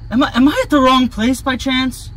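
A man's voice: very high voice, fairly even intonation, normal speed, a bit bright. A man casually ordering as a drive-thru prank, hesitant and stammering, often repeating his words. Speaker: prank vlogger. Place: in a car at drive-thru speakers. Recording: car noise, outdoor drive-thru.

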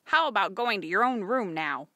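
A woman's voice: mid-range voice, expressive, normal speed, pushed and bright, no echo, studio quality. A woman voicing a boyish older brother, teasing and a little bossy, in an audiobook story. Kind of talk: audiobook character voice.

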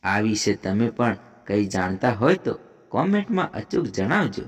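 A man's voice: on the low side, big pitch swings, moderate pace, husky, muffled recording. A man with a Gujarati accent reading a scripted devotional moral story as a storyteller. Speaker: storyteller, channel host.

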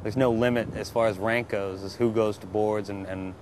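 Low, flat-voiced sergeant. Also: male voice, moderate pace, background noise. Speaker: platoon sergeant. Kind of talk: interview.

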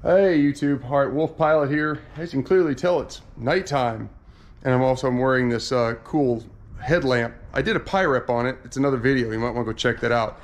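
A man's voice: medium pitch, brisk. Pilot guy rambling to his camera in a hangar at night, chatty, lots of uhs. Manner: chatty, rambling explanation with fillers. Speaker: pilot vlogger. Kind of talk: casual vlog to camera. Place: aircraft hangar at night, indoors. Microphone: handheld action camera at arm's length.

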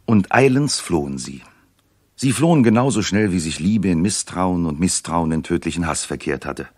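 An actor as a composed storyteller, narrating a scripted 1960s German radio drama. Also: male voice, low voice, animated, moderate pace, darkish tone, no room sound, studio quality.

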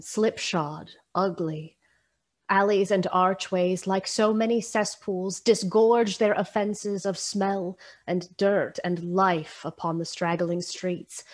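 Medium-pitched woman narrator. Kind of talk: scripted narration for a radio play.

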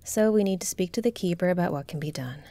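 A woman's voice: fairly low pitch, fast talker, mellow, no echo, natural voice. A woman playing a composed, regal griffin princess in a fantasy audio roleplay, speaking in a formal, probing and grave way.

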